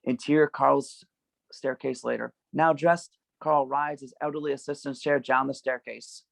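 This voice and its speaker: Gravelly man narrating stage directions. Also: mid-range voice, steady tone, normal speed, dry recording. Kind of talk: scripted narration, table read.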